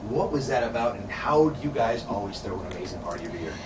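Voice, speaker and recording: Excited guy host, talks all over the place, echoey room, far from the mic, hissy. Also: lowish voice, fast talker. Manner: enthusiastic, laughs often, calls people dude. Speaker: interviewer, show host. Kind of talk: casual industry interview. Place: hotel room with curtains, indoors. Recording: roomy, distant, noisy camera sound.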